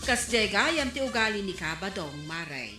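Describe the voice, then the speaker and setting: A woman's voice: medium pitch, very animated, moderate pace, roomy sound, loud music behind the voice, natural voice. A woman acting a talkative neighborhood store owner who gossips about neighbors, in a radio drama. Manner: chatty, gossiping.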